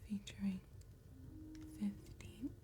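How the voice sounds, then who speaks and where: A woman playing an elegant, calm vampire tattoo artist in a soft-spoken, hushed and deliberate ASMR roleplay. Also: medium pitch, over music, close to an everyday voice. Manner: hushed, unhurried, deliberate phrasing.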